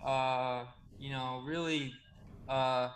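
A man's voice: medium pitch, steady tone, extremely slow, a little hiss or hum. A young man in his twenties who stutters, speaking hesitantly with many fillers as he hosts a casual chat. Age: 20s. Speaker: podcast host, assistant coach. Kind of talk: casual conversation.

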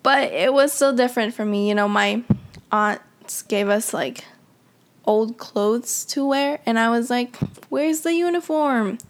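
A woman's voice: on the high side, unhurried. A young woman who grew up in the Philippines, reflecting in a casual, conversational way with frequent fillers as she tells her own story. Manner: reflective, conversational, many "you know" fillers. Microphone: audio only, unseen.

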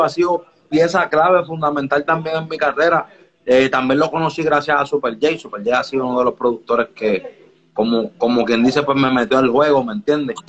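A man talks easily as a guest in a casual interview, often checking that the listener follows along. His voice sits at an ordinary pitch for a man. The recording sounds dull and lo-fi. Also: fairly bright tone. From Dominican Republic. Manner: laid-back, keeps asking "you get me?". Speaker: music producer guest.

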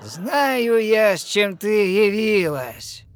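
An actress playing a mocking, sinister sea witch in a verse fairy-tale audio play, with a sneering, theatrical, drawn-out delivery. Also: medium pitch, very animated, a little hiss or hum. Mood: mocking, gloating. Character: mocking sinister sea witch, gloating over her victim.

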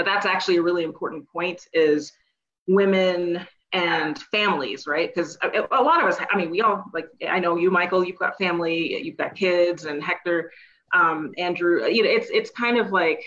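A woman's voice: fairly low pitch, highs cut off. A middle-aged woman who runs a bookkeeping firm, chatting as a guest on a live stream. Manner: chatty, relaxed, laughs easily. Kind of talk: casual live-stream chat.

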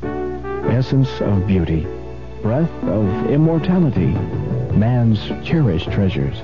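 Male commercial announcer, poetic read, slightly deep, over music on dull radio. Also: sing-song, slow pace. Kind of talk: scripted radio gallery advertisement.

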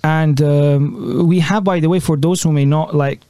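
High-voiced earnest man speaking emphatically. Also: steady tone, dark tone, dry recording, clean studio sound. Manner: earnest, emphatic, quick rising exclamations. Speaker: Islamic scholar and guest. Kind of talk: animated conversational talk.

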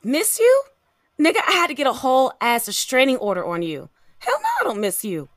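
Woman acting a fed-up American woman, swinging pitch. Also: animated, normal speed, no echo, clean studio sound. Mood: incredulous, indignant. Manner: blunt, emphatic. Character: fed-up, fiercely defiant young woman facing abusive ex. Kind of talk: dramatic audio drama acting.

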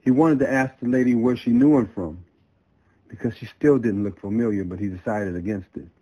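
A man reading his own story aloud as a guest author, in a plain, measured narrative delivery on a slightly muffled recording. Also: mid-range voice, mellow.

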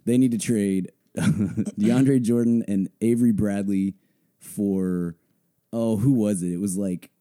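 A young man hosting a casual sports podcast, hoarse from a blown-out voice, rambling with many fillers. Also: lowish voice, fairly even intonation, slow, dark tone. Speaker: podcast host.

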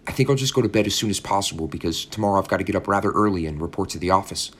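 A man's voice: sits low, very fast, pitched above the performer's own voice. A man doing a polite young lodger. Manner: polite and bright.

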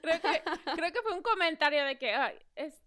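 A woman's voice: sits high, expressive, moderate pace, sharp tone. A woman from Mexico, hosting a podcast interview in a chatty manner and laughing often. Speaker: podcast host. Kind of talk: casual interview chat.

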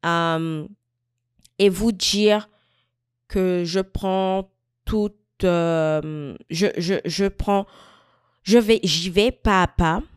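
A woman in her mid-twenties from Equatorial Guinea, talking thoughtfully to camera in a personal vlog, with frequent pauses and restarts. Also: lowish voice, clean tone, no room sound, studio quality. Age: 20s. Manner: thoughtful, searching, many fillers and restarts. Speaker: vlogger. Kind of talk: reflective personal talk to camera.

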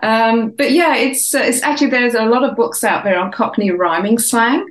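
Older English woman, softened London accent, rambling guest storyteller, roomy echo. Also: on the high side, normal speed. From England. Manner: reflective, rambling with many restarts. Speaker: author and guest. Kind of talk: casual interview chat.

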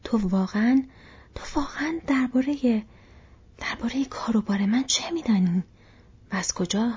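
A woman's voice: a little high, darkish tone, husky. A woman voicing a wary young male hobbit in a whispery, hesitant, questioning way. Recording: dull lo-fi recording.

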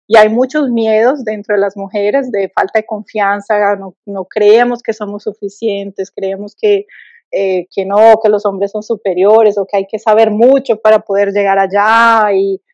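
A woman's voice: medium pitch, darkish tone, dry recording, highs cut off. A middle-aged woman from Colombia with a Colombian accent, telling her story as a podcast guest, thoughtful with many restarts and fillers.

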